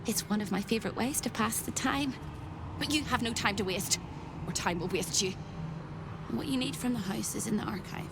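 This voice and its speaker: A woman's voice: a little high, brisk, creaky. An actress playing an eccentric captive woman guide who talks in whimsical riddles in a video game cutscene. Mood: dreamy, eccentric. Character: cheerful, unhinged captive woman guiding visitors with riddles. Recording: loud ambient noise behind voice.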